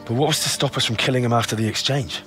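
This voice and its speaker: An actor playing an earnest young commoner turned soldier in a game cutscene, plain-spoken, brooding and vengeful. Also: male voice, medium pitch, quick pace, a bit bright, natural voice. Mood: accusing, frustrated. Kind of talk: dramatic video game cutscene acting. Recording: music behind the voice.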